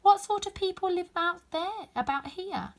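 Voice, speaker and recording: A woman's voice: extremely high pitch, animated, moderate pace, pitched above the performer's own voice. A woman voicing a polite, earnest and curious young girl in a storybook, in a prim, questioning manner.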